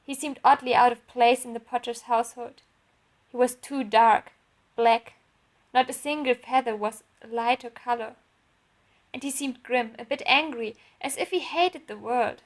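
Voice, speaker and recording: A woman reading her own written story aloud as a narrator, in an audiobook style. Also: a little high.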